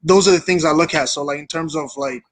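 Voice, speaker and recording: Higher-pitched young man, earnest and informal. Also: quick pace, dry recording. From Kenya. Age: young adult. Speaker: interview guest, wrestler. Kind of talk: casual chat.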